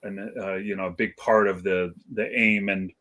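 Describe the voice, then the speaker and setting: A man's voice: deep voice, level tone, normal speed, fairly bright tone. A middle-aged man from Iceland who moved to California young, chatting as an interview guest in a hesitant, reflective, slangy and rambling way. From Iceland, moved to California at seven. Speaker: guest, hip hop DJ. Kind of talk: casual interview chat.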